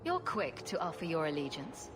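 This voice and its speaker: An actress voicing a blunt young heroine with a dry, matter-of-fact manner. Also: female voice, deep voice, wildly swooping pitch, normal speed, music in the background.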